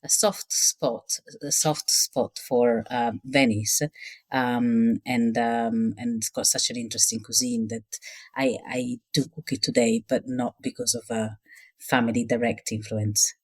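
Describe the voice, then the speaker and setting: A middle-aged woman from Rome, speaking as an interview guest and pausing often with fillers and restarts. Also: rumbling low, fairly even intonation, husky. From Rome, Italy. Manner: hesitant, with many fillers and restarts. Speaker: guest, cookbook author. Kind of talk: casual interview talk.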